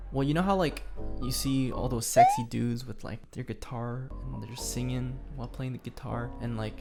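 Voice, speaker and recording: A man's voice: medium pitch, vocal fry. A young man born in Korea, whose first language is Korean, answering fan questions in a playful, self-deprecating way. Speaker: YouTuber. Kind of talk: casual Q&A vlog.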